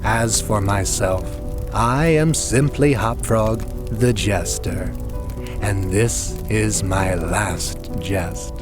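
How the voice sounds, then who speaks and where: A man voicing a maniacally gleeful, vengeful jester dwarf in an audiobook, with a shrill, screaming edge and a drawn-out, taunting delivery. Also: over music. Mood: vengeful triumph.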